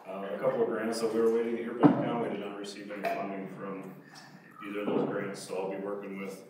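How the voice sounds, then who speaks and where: Plain-spoken male fire chief, low voice; distant, reverberant room. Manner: matter-of-fact, plain-spoken. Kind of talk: casual report. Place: council chambers, large room. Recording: echoey room, far from the mic, hiss.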